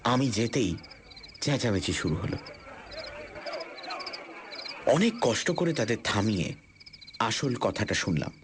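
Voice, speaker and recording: A man narrating a suspense story for radio in the first person, deliberate and theatrical with dramatic pauses. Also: lowish voice, very animated, a little hiss or hum. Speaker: story narrator and lead character. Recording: suspense music behind the voice.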